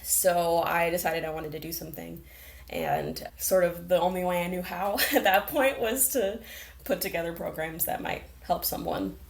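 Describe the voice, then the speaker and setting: An earnest young woman speaking in a somewhat low, grounded voice as an interview guest. She talks reflectively and often breaks into light laughter. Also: normal speed, vocal fry. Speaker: programs manager, guest. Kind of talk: interview conversation.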